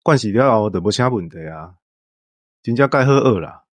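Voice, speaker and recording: A man's voice: lowish voice, expressive, normal speed, dry recording. A man with a Taiwanese accent co-hosting a show, explaining things in casual conversation. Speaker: co-host. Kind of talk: casual explanatory podcast chat.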